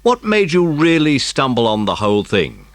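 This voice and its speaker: A man playing an authoritative police chief, measured and emphatic, in a dramatised children's audiobook. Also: medium pitch, huge pitch swings, no room sound, voice pushed up. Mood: intrigued.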